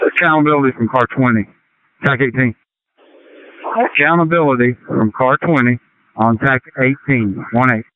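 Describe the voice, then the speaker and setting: A man's voice: medium pitch, normal speed, pauses often, dry recording. A man working as a fire officer, passing on crew details in an informal, explanatory way over a two-way radio. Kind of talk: fireground radio traffic. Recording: tinny two-way radio transmission.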